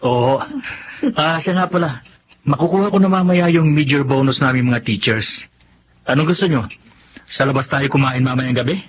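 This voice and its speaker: A man's voice: medium pitch, moderate pace, pauses often, natural voice. A man playing a good-humoured schoolteacher husband in a Tagalog radio drama, jovial and bantering, with a Filipino accent. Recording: dull lo-fi audio with noise.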